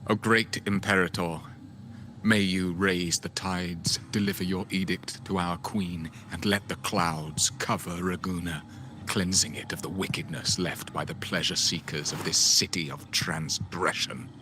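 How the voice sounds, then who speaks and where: An actor playing a menacing, pompous cult zealot in a video game, speaking with grandiose solemnity. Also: male voice, sits low, steady tone, measured pace, pushed and bright, slight background noise. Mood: solemn, reverent.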